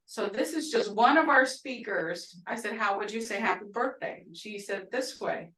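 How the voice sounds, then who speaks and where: A woman with an Oklahoma twang teaching a language class over a video call, chatty and quick to laugh. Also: medium pitch, pushed and bright. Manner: chatty, laughing often, storytelling asides. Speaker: language instructor. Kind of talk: casual online class teaching. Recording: roomy, distant video-call audio.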